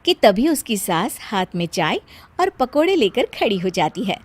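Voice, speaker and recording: A woman's voice: medium pitch, very animated, moderate pace, slightly rough, dry recording. A woman narrator reading a scripted story for a cartoon.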